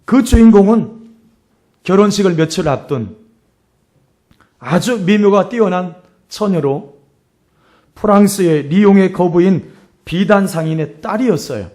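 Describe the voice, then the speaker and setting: Middle-aged male pastor, high-pitched and hoarse. Sermon delivery, emphatic, with repeated phrases. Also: animated, a little slow, pauses often, mellow. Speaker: pastor preaching. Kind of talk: sermon with storytelling and exhortation.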